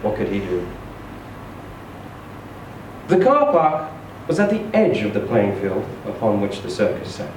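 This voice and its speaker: A man reading a short story aloud in an expressive, theatrical, emphatic storytelling style. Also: fairly low pitch, a little slow, pauses often. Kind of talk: expressive live literary reading. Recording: echoey room, distant mic, faint noise.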